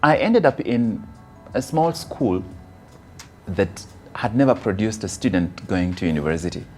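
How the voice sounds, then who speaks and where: A man with a Kenyan accent giving a motivational personal testimony, phrasing deliberately and dramatically with emphatic stresses. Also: fairly low pitch, animated, darkish tone, soft background music. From rural Kisii, Kenya. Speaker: motivational speaker.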